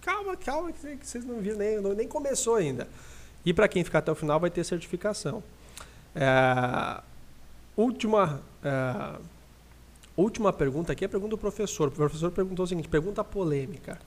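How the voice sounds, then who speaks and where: Higher-pitched Brazilian man hosting a live podcast. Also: expressive, brisk. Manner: informal, addresses listeners directly. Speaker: podcast host reading audience questions. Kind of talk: casual live podcast chat.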